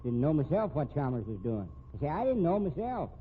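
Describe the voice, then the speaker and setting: A rustic old watchman, evasive but eager to oblige, repeats himself in a very animated mid-pitched voice. The 1950s radio performance comes through a tinny, faintly noisy recording. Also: fairly quick. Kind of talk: 1950s radio mystery acting.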